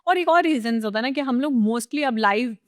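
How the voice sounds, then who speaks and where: A woman with an Indian accent hosting a conversational interview, thinking aloud in a slightly halting way. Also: fairly high pitch, expressive, dry recording, clean studio sound. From small town in India. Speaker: podcast host. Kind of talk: conversational interview, Hindi mixed with English.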